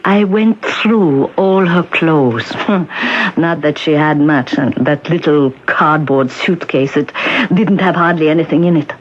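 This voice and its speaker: A woman's voice: sits low, a little slow. An actress in an old radio drama playing a kindly, bustling middle-aged woman shopkeeper who talks in a homely, emphatic way. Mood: chatty, earnest. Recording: muffled, hissy 1970s radio broadcast recording.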